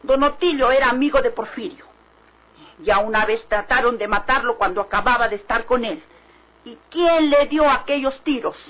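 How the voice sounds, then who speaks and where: A woman's voice: fairly high pitch, moderate pace, a bit bright. An actress playing a stubborn, suspicious village woman in a vintage Mexican radio drama, with a Mexican accent. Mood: insistent. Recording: faintly noisy old radio recording.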